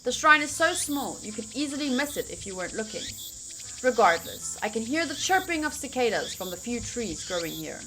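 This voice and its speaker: A woman's voice: on the high side, sing-song, a little fast, pushed and bright, a little hiss or hum. A woman game streamer reading a visual novel aloud theatrically, mixed with casual reactions. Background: faint game music.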